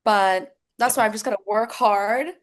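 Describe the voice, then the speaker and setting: A woman's voice: medium pitch, normal speed. A chatty young woman from Finland, a native Swedish speaker, talking as a guest singer in a casual interview over a remote call. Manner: chatty, laughs easily.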